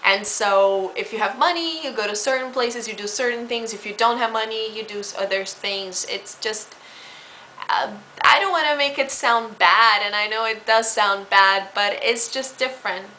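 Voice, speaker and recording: A woman's voice: on the high side, normal speed, sharp tone, slight background noise, poor audio. A woman from Brazil, explaining her home country in a chatty, casual way with fillers and restarts. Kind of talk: casual spoken talk.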